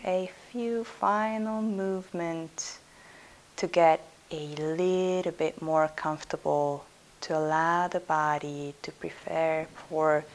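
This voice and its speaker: A woman with a low voice guides a meditation as a yoga nidra instructor. She speaks very slowly and soothingly, giving gentle instructions with pauses. A steady hiss sits behind her voice.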